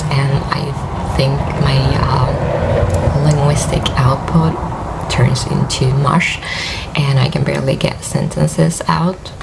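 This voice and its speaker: Woman, Finnish accent, low and croaky, worn out, halting with fillers, audible background noise. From Finland. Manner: hesitant, rambling with many fillers and soft laughs. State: tired, voice worn. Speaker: knitting podcast host. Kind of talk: casual vlog chat.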